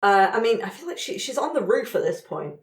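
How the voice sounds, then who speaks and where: A woman's voice: medium pitch, very animated, brisk, roomy sound. A woman playing a tabletop roleplaying game, telling her improvised story casually.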